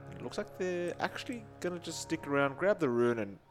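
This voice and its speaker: A man's voice: fairly high pitch, expressive, brisk, music in the background. An Australian man doing play-by-play esports commentary with chatty, joking banter. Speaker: esports caster.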